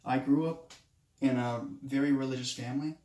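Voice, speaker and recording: A man's voice: mid-range voice, fairly even intonation, warm tone. Hesitant young man in an echoey room. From Moldova. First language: Romanian. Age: 20s. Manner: hesitant, halting, many restarts. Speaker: student. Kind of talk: self-introduction speech.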